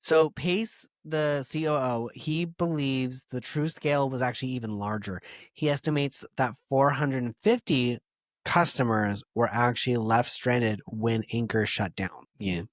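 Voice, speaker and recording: A man co-hosting a podcast, chatting casually and reading from his notes with easy laughs. His voice is mid-pitched and sits in a dry, dead room. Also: measured pace, dry recording. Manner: conversational, laughs easily, reads quotes aloud. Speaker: podcast co-host. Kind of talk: casual chat reading a story.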